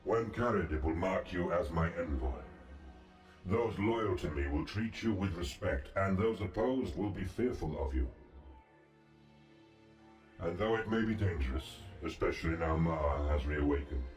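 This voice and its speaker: An actor playing a calm, solemn ancient god in a fantasy game, speaking in a formal, measured and imperious way. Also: male voice, low voice. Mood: solemn, assured. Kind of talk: scripted fantasy game dialogue. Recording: roomy, distant, music behind.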